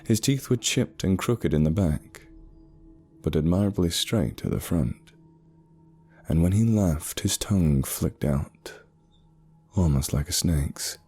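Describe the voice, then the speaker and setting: Male horror narrator: low, husky, suspenseful delivery with music underneath. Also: expressive, mellow. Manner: measured, suspenseful, short pauses. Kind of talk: scripted horror narration, first person. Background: eerie background music.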